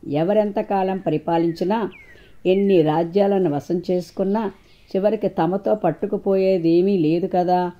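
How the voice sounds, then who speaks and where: A woman's voice: fairly low pitch, steady tone, moderate pace, dull audio, natural voice. A woman voicing a grand, reflective male king in a storybook folk tale. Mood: world-weary. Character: world-weary male king, easily enchanted by art.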